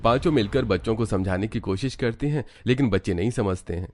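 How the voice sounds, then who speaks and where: A man's voice: lowish voice, quick pace, darkish tone. A man narrating a scripted cartoon story.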